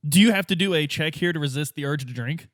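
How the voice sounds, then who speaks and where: Dude playing a tabletop game, talks fast, slightly high voice, casual and full of questions. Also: male voice, fairly bright tone, no echo, clean studio sound. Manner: informal, questioning. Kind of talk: casual chat.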